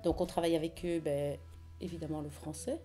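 A woman speaks in a measured, explanatory way, her voice low and mellow. Soft music plays under her words. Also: level tone. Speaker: staff member of a training association. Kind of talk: documentary interview.

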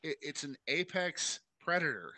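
Podcast co-host, man, lively swooping delivery, bright tone, rambling comedy chat. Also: a little high, measured pace, no echo. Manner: animated, laughing, rambling.

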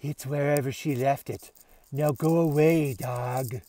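A disdainful storybook cat, put on by a man, aloof and curt. The voice sits noticeably lower and cooler than the bright storytelling around it, in a dry recording. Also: steady tone, unhurried, no room sound, lightly acted. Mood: dismissive. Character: aloof, dismissive cat who talks in riddles. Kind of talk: children's storybook read-aloud.

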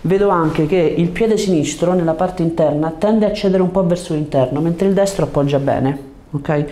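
A man in his thirties speaking as a chiropractor, giving short, gentle, reassuring instructions to a patient in a calm clinical way. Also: a little high, moderate pace. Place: indoor chiropractic office. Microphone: lapel mic. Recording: faint background room noise.

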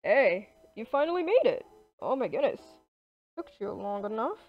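A woman's voice: on the high side, very animated, normal speed, soft background music. A woman voicing an easygoing, casual male boss in an improvised video game roleplay. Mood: casual, friendly. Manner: laid-back, casual. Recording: dull lo-fi home mic.

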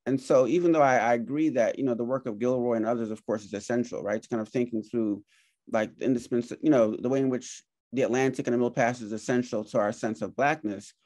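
A man's voice: mid-range voice, steady tone, fast, darkish tone, no room sound. Man moderating a video-call panel. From Jamaica. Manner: thoughtful, many fillers and hedges. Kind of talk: academic conversation. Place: home office.

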